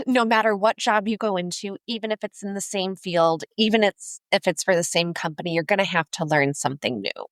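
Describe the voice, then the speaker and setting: Woman career coach on a podcast, lowish voice, lays out points clearly and with emphasis, no room echo. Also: a bit bright. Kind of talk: conversational interview answer, explanatory.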